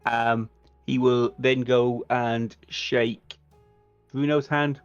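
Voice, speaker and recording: A man runs a tabletop roleplaying game with measured, halting narration. His mic is dry and a bit dull, and music plays faintly underneath. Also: medium pitch, steady tone, clear, resonant tone, no echo. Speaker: game master. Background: background music.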